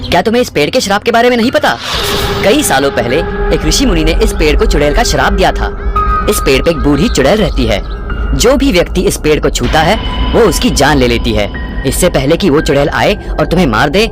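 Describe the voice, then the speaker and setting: A man playing a kind, poor young shepherd in an expressive, earnest children's cartoon style. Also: on the high side, a little fast, over music. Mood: urgent warning. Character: Ramu, kind poor young shepherd, devoted son and brother. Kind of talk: children's cartoon story acting.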